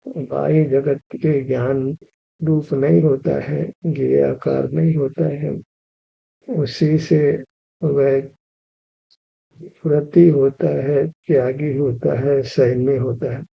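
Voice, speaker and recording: A man guides a meditation at a very slow, unhurried pace, his voice heavy with gravelly fry. The tone is husky rather than clear. The recording sounds dull and lo-fi. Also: medium pitch. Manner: slow, even, instructive, repeats key phrases. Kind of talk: guided meditation with spiritual teaching.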